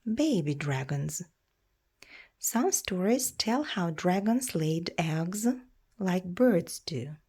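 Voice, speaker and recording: A woman reading a children's storybook aloud in a measured, expressive storytelling way. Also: fairly low pitch, breathy edge. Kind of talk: read-aloud storybook narration.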